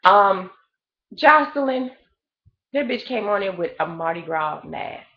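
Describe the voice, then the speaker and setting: A woman's voice: mid-range voice, animated, unhurried, highs cut off. A woman in her late thirties giving a blunt, profane, rambling gossip review of a reality show in a casual chat. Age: 30s.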